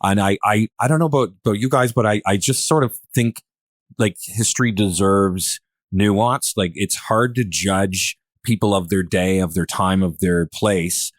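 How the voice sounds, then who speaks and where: A man's voice: fairly low pitch, normal speed, dry recording. A man hosting a podcast in casual discussion, rambling and searching for words as he thinks aloud. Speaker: podcast host.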